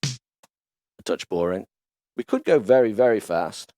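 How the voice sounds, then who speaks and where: A man hosting a casual music production tutorial, chatty and enthusiastic as he walks through software instruments in his home studio. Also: fairly low pitch, unhurried. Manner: relaxed, chatty, enthusiastic demonstrator. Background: instrument demo music.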